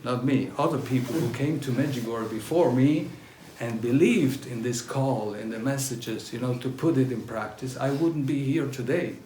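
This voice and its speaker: A man from northern Italy whose first language is German, giving a religious testimony to a pilgrim group in halting English full of fillers. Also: mid-range voice, mellow, reverberant, slight background noise, poor audio. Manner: halting, searching non-native English with fillers. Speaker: pilgrimage speaker. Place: gathering at a castle.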